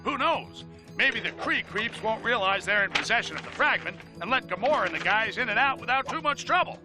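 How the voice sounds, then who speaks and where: A man playing a blustery, excitable newsman in a cartoon video game, bombastic and sarcastic in his commentary. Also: high-pitched, a little fast, music in the background. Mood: sardonic, excited. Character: blustery, excitable male newsman ranting on a live stream. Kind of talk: theatrical news commentary, cartoon game acting.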